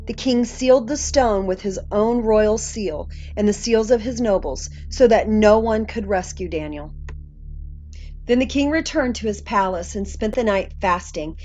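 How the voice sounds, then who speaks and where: A woman's voice: mid-range voice, measured pace, a little mellow. A woman reading a Bible story aloud as a scripted narrator, on slightly muffled audio. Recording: muffled audio with faint music.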